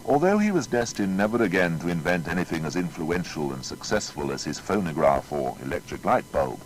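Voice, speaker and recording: A man's voice: low-pitched, sing-song, fairly quick, slight background noise, low-quality recording. A man narrating a scripted biography with emphatic, rhetorical delivery.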